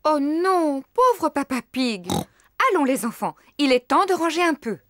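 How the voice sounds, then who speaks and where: A woman voicing a sensible, caring mummy pig in a French-dubbed preschool cartoon, motherly and gently firm. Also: high-pitched, animated, a little slow. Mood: sympathetic. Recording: clear background noise.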